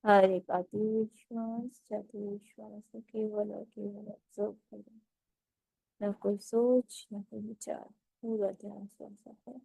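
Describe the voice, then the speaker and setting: Female meditation instructor, relaxed dark timbre, close dry sound, unhurried phrases with pauses, gently instructive. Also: medium pitch, creaky, slightly rough, no room sound, low-quality recording. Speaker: meditation guide.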